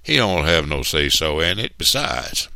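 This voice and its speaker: A deep-voiced, gravelly Southern lumber boss, acted by a man, talks with folksy ease and quiet menace. He sounds haughty and sharp, close and dry, as in an audiobook. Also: very animated, measured pace, dry recording, heavily acted. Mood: brusque, folksy. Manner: folksy drawl with veiled threats.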